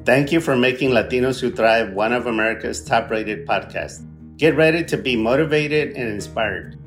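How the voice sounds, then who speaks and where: A man's voice: mid-range voice, moderate pace, faint music behind. A man hosting a podcast, reading an upbeat scripted introduction and then asking questions with some hesitation. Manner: earnest, promotional delivery with fillers in questions. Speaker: podcast host.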